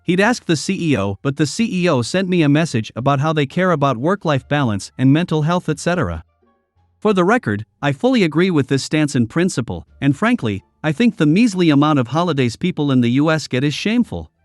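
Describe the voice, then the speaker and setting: A man reading online posts aloud as story narration, in a steady, even delivery. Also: fairly high pitch, fairly quick, dry recording, studio quality, soft background music.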